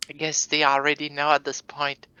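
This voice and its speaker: A woman's voice: sits low, monotone, moderate pace, sharp tone, clean tone, dry recording, lo-fi sound. A woman from Quebec co-commentating a card tournament stream with casual banter.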